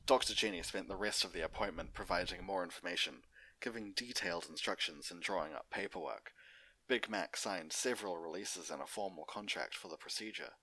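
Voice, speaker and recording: A man's voice: low-pitched, a little fast, pushed and bright, breathy edge, dry recording, poor audio. A man narrating a fan fiction story as an unedited read-aloud.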